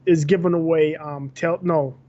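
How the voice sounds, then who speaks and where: A man's voice: a little high, steady tone, normal speed, dark tone, highs cut off. A man co-hosting a gaming podcast, going through news items with frequent fillers and self-corrections. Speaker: podcast co-host.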